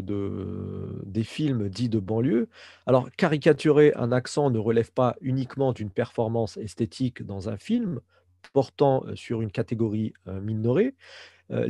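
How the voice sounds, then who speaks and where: A man's voice: mid-range voice, warm tone, no room sound, studio quality. A middle-aged man giving an academic conference talk on sociolinguistics, speaking hesitantly with many fillers and restarts. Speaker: sociolinguistics lecturer.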